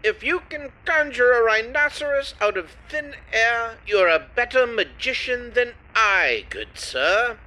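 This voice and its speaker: An indignant, theatrical stage magician played by a man in an audio drama. His voice is very high and bright, and he speaks slowly, with wounded pride. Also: lively intonation, heavily acted, poor audio. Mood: indignant, scornful. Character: exasperated, proud male stage magician.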